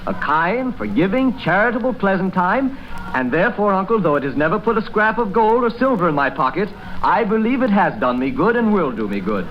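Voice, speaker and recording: An actor playing a cheerful, kind-hearted nephew, hearty and earnest, in an old-time radio drama. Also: male voice, high voice, big pitch swings, fairly quick. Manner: hearty, earnest, well-spoken. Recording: noisy, muffled old radio broadcast.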